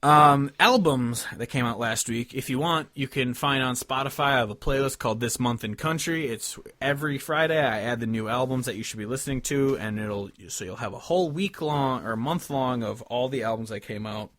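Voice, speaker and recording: A man's voice: medium pitch, fairly quick, hardly any pauses, a bit bright, no echo. Informal, profane man hosting a podcast, dry sound. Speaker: podcast host. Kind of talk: casual chat about country music.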